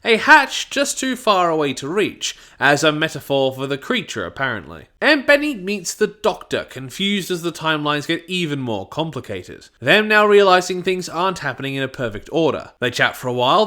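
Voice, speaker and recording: Man narrating a review, high animated voice. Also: brisk, hardly any pauses. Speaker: YouTube audio drama reviewer.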